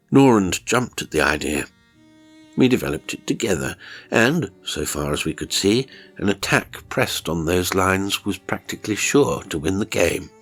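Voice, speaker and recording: A man narrating a first-person horror story in a dramatic audiobook style. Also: low voice.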